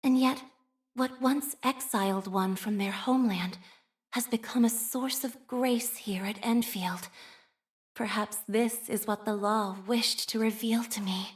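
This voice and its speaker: A woman voicing a devout, shy young priestess in a video game, speaking formally and with measured, prayer-like phrasing and a machine-minded reserve. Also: fairly high pitch, steady tone, close to an everyday voice. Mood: solemn, reverent. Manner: formal, measured, liturgical phrasing with hesitant shyness. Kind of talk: scripted game character voice lines.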